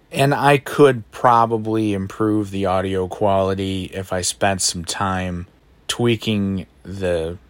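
A man with a baritone voice hosting a solo podcast, thinking aloud in a reflective, self-deprecating way, recorded on a phone in a bedroom at home. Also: dry recording. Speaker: podcast host. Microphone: phone microphone.

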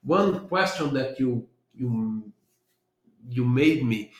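A man's voice: mid-range voice, lively intonation, slow. A man from Brazil with a Brazilian accent, answering interview questions thoughtfully and repeating words as he restarts his sentences. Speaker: guest consultant and trainer. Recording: roomy echo.

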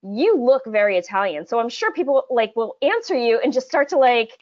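A woman hosting a casual game segment in a chatty podcast style, playful and quick to laugh as she talks. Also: on the high side, very animated, a little mellow, dull audio. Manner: playful, laughs often while talking. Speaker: podcast host.

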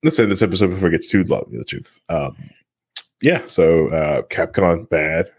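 A man's voice: deep voice, expressive, normal speed, slightly rough, dry recording, studio quality. A man hosting a podcast in a casual chat, with a joking, rambling way of talking. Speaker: podcast host.

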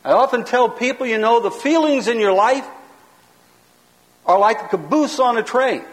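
Loud, high-voiced man preaching in a hall. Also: moderate pace. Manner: loud, emphatic, rising to a preaching cadence. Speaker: pastor teaching a seminar. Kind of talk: sermon-style teaching lecture. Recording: long hall reverb.

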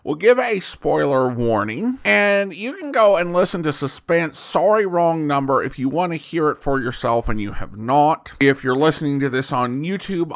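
A man hosting an old-time radio podcast, presenting in a conversational way. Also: a little high. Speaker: podcast host introducing old radio programs.

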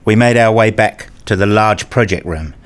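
A man's voice: fairly low pitch, moderate pace, smooth, close to an everyday voice. An actor playing an enthusiastic, curious grown man who narrates his visit in a scripted radio play. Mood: measured, reflective. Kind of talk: scripted first-person narration and acted dialogue.

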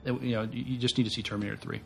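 Guy on a podcast, gravelly and pretty monotone, halting casual chat, music under him. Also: mid-range voice. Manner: hesitant, halting. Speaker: podcast panelist.

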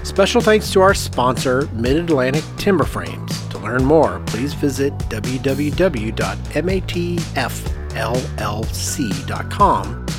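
A man hosting a podcast in casual chat, with an animated, joking way of talking. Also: medium pitch, unhurried, over music. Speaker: podcast host.

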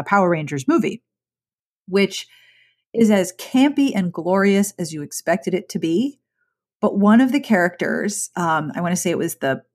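A woman's voice: fairly low pitch, darkish tone. Chatty, enthusiastic woman podcast host. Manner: enthusiastic, chatty, many "like" fillers. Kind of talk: casual interview chat.

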